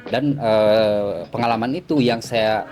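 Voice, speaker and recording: A middle-aged man, a forex trading mentor, talking in a relaxed, casual interview and explaining with everyday examples, dropping English words into his talk. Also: on the low side, slow pace. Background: background music.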